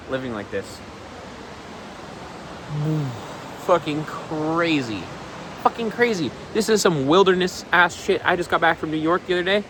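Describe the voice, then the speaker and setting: A man's voice: on the high side, noisy background. Rambling twenty-year-old man vlogging. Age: 20s. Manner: rambling, repeats himself, swears. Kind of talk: casual vlog talk. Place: outdoors on a balcony.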